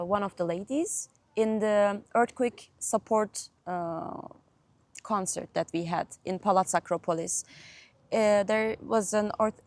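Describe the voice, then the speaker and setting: A young woman from Turkey, whose first language is Turkish, chatting as a guest musician in an interview, laughing often and pausing with fillers. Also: mid-range voice, a little mellow. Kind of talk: casual interview chat.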